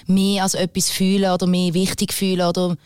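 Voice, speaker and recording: A woman's voice: lowish voice, monotone, fast talker, darkish tone, clean tone, no room sound, clean studio sound. A woman with a Swiss German accent, a singer guest talking candidly and rambling a little in a casual interview. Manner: candid, rambling, frequent fillers.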